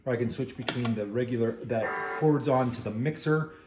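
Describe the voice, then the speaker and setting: A man giving a casual, hesitant hands-on walkthrough of a DIY project, explaining it off the cuff with frequent pauses. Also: medium pitch, over music. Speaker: DIY builder and host.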